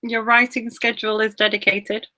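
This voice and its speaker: A woman's voice: fairly high pitch, flat delivery, moderate pace, pushed and bright, rough recording. A woman hosting a casual online author interview on a video call, reading out viewers' comments in a chatty way. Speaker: book club interviewer.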